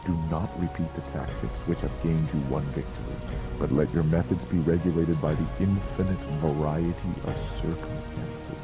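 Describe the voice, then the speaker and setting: A man with a low voice narrates a dramatized ancient treatise. His delivery is solemn and measured, set in short phrases. Music and rain play clearly behind him. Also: low-quality recording. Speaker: audiobook narrator. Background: background music with falling rain.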